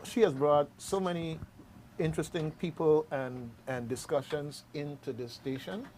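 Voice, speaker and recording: A man's voice: on the high side, moderate pace, darkish tone, slight background noise. A man hosting a radio show with casual, unscripted talk. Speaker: radio host. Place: radio studio.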